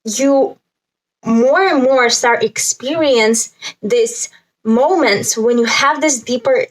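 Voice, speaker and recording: Slow, higher-pitched Latvian-accented young woman. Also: poor audio. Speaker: spiritual self-help vlogger. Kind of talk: casual talk to camera, explaining a list.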